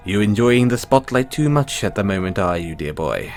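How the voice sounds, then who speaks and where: A man voicing a refined male playwright in a one-sided audio roleplay, speaking in a theatrical, drawn-out way and dropping low on each "dear boy". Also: animated, a little mellow, soft background music. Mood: affectionate.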